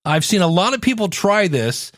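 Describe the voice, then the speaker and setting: Higher-voiced man hosting a podcast, dry sound. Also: moderate pace, no echo. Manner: animated, acts out quotes to make points. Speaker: podcast host and coach. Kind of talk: conversational solo podcast advice.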